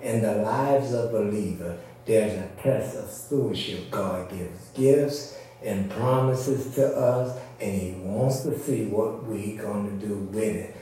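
A man's voice: on the low side, takes it slowly, dark tone. Echoey, distant male preacher teaching. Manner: teacherly, checks listeners follow with short questions. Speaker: preacher leading a church service. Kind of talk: informal expository sermon. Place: reverberant indoor church service. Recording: echoey room, distant mic.

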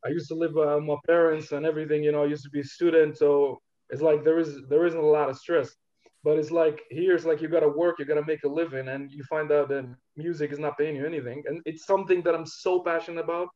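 Hesitant man, fairly high voice. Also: level tone, fast, dark tone, highs cut off. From Algeria. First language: Algerian Darija. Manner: hesitant, full of "you know" fillers. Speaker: rapper guest. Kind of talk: casual interview talk.

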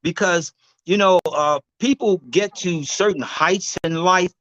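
A man in his sixties, a guest herbalist, telling his story in a loud, emphatic, preacher-like way over a video call. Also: high voice, a little slow, no echo, dull audio.

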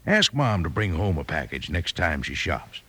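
An actor playing a folksy, enthusiastic cowboy pitchman with a gravelly voice and American accent in a radio commercial. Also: very animated, moderate pace, fairly bright tone, exaggerated voice. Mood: hearty. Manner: drawling folksy salesmanship. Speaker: radio advertiser.

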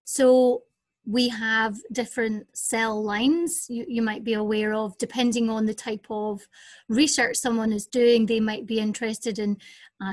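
Scottish woman, lecturer guest, higher voice, easy storytelling, dry sound. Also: no room sound. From Scotland. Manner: fluent storytelling with fillers. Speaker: guest, senior lecturer in anatomy and physiology. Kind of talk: interview answers. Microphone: home setup over digital platform.

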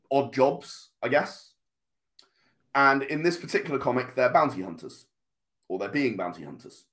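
A man speaking as an interview guest, explaining and storytelling conversationally, halting and repeating words as he goes. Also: mid-range voice, a bit bright. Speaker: podcast guest, comic writer.

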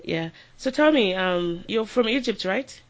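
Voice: medium pitch, animated, a little slow, muffled recording. A podcast host interviewing a guest in a casual chat, rambling with lots of fillers and restarts.

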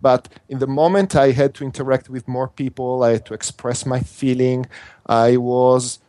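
An Italian-accented man, a native Italian speaker, speaks in an earnest, halting way, circling back and restarting his phrases. His voice sits at an ordinary middle pitch. The sound is dry and close, with no room echo. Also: little pitch movement, mellow. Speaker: interview guest, workshop instructor. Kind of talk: earnest conversational interview.

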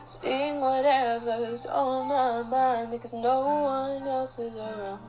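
A young woman singing her own song in a tired, slightly raspy voice. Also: sits high, fairly even intonation, slow pace, a little mellow, rough recording. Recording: home demo with guitar playing.

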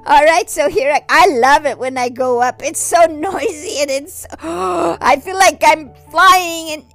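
A woman voicing an excited little boy who gushes and gasps with wonder, storybook style. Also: high voice, measured pace, creaky, soft background music, pitched above the performer's own voice, exaggerated voice. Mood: thrilled. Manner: breathless, gushing, gasping with wonder. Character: excited little boy turned Easter helper.

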